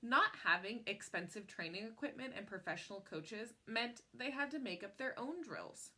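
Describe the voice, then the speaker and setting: Bright-voiced woman reading a story aloud. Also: a little high, expressive, normal speed. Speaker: picture book reader. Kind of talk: expressive read-aloud storytelling.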